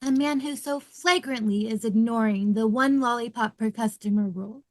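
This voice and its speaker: A woman plays a formal, reluctant bank clerk in a TV comedy script. The voice is measured and slightly hesitant, with very little room sound. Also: a little high, a little mellow, no room sound, natural voice, poor audio. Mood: disapproving. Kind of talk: fan table read of a TV comedy.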